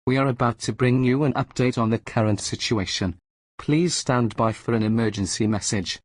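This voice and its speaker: A man delivers a scripted emergency announcement in a measured, solemn way. His voice sits at a middling pitch, recorded very dry with no room sound. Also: dry recording.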